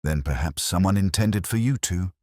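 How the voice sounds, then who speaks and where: A man voicing a shrewd, unruffled police inspector in a mystery story, with a smooth, dry, measured manner. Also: low voice, expressive, darkish tone, no echo. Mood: smoothly probing.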